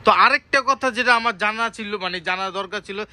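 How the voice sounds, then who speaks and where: A man's voice: sits high, brisk, a crowd in the background. A Bangladeshi man co-hosting a casual product promotion, eagerly asking questions and echoing the seller's points. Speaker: promotional co-host from the toy shop.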